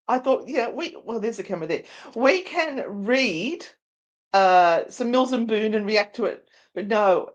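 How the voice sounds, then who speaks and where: A woman's voice: on the high side, dull audio. A woman hosting a casual live stream, chatty and playful as she addresses the viewers. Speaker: show host and narrator.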